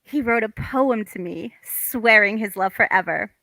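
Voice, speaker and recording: A woman acting a naive, earnest young housewife longing for romance, girlish and excitable in a comic table read. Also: medium pitch, a little slow, no echo, rough recording. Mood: excited confession.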